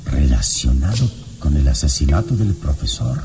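An actor playing a calm, commanding, wise adventurer hero, speaking with measured authority in a radio serial. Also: male voice, very deep voice, gravelly, heavily acted. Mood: grave, admonishing. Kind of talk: old radio serial acting. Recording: radio drama with music behind.

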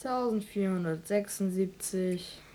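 An actress playing a forlorn child in a German radio drama, speaking in a solemn chant. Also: female voice, medium pitch, measured pace, dark tone, natural voice. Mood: solemn, resigned.

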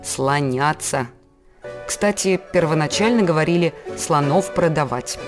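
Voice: medium pitch, unhurried, over music. A radio presenter telling a history to a child, patient and explaining, asking teaching questions. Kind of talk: scripted educational narration.

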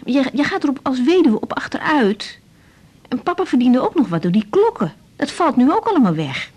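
A woman's voice: high-pitched, very animated, normal speed, darkish tone, breathy edge, full character voice. An actress playing an intense, caring young woman doctor with a Netherlands Dutch accent in a radio drama, speaking in a confiding, insistent manner. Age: young adult. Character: intense young female doctor, caring but exasperated daughter. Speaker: lead actress in radio serial. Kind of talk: 1980s radio drama, intimate monologue and dialogue.

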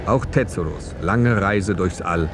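A solemn male storyteller's narration for a radio drama. The voice is deep. Loud vehicle noise surrounds it. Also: a little slow.

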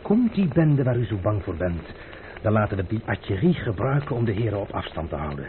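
Through a thin, tinny old radio recording comes a stubborn gentleman adventurer. His pitch swings widely as he teases with elaborate courtesy and unhurried insolence. Faint hiss sits behind him. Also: very animated. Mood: mocking, unhurried. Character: stubborn, teasing gentleman adventurer, fond of food and wine. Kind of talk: old radio drama acting.

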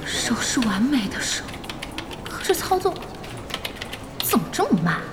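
A fairly high, hushed voice of a puzzled young woman thinking to herself. Her intonation is lively and curious, an audio drama inner monologue with clear noise of typing behind it. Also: a little slow. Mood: puzzled musing. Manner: hushed, musing.

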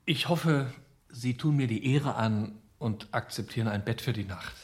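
An actor playing an evasive young male swindler in a radio drama, outwardly polite and measured. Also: mid-range voice, close to an everyday voice. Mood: guarded.

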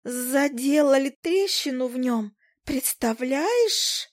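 A squeaky, nosy little fly. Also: female voice, expressive. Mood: gossipy. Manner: squeaky, rapid, gossipy chatter. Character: chattering tiny female fly, nosy and excitable. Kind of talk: audiobook character voice.

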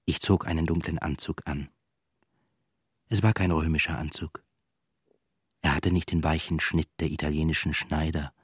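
A man's voice: very deep voice, husky, no echo, clean studio sound, heavily acted. An actor in a German radio play playing a defiant composer, telling his story in a reflective, dryly ironic monologue.

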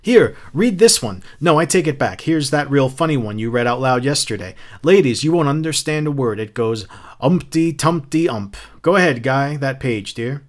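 A man's voice: mid-range voice, animated, normal speed, voice pushed up, natural voice. A man voicing a bossy, nervous housewife in a storybook reading, chattering and coaxing with false sweetness. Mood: falsely cheerful. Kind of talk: audiobook character acting.

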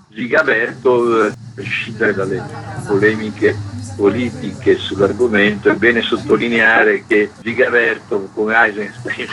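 Older male interview guest, lowish bright voice, discursive, explanatory. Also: a little hiss or hum, rough recording. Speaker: archive president, interview guest. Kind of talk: radio interview, explanatory talk.

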